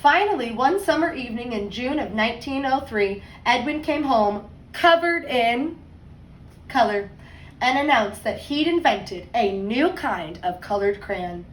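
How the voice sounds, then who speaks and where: A woman teacher reading a picture book aloud to young children, expressively and deliberately, stressing words and adding conversational asides. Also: a little high, expressive. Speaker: second grade teacher. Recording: roomy echo, distant mic, faint noise.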